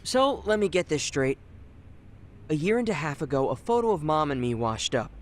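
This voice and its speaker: A man's voice: a little high, very animated, normal speed, a little hiss or hum. An actor playing an earnest young man, speaking casually and directly in a video game drama. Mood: hurt, pressing. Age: young adult. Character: earnest young man seeking answers about his mother. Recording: music behind the voice.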